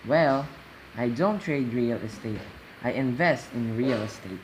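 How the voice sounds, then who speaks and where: A man playing a calm real estate investor who explains his views didactically in a scripted student radio play interview. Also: mid-range voice, unhurried, natural voice, low-quality recording. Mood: explaining. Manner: didactic, repeats phrases for emphasis. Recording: faint background noise.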